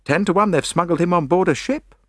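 A man voicing a gloomy, pessimistic tall police detective in an audiobook mystery, speaking in a measured way. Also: high voice, no room sound, studio quality, voice pushed up.

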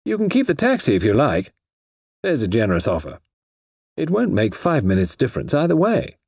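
A man voicing a nonchalant, self-assured gentleman writer in an audiobook, urbane, chatty and a little wheedling. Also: medium pitch, wildly swooping pitch, fairly quick, husky, dry recording, clean studio sound, voice pushed up, full character voice. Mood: pleading. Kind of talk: audiobook character voice.

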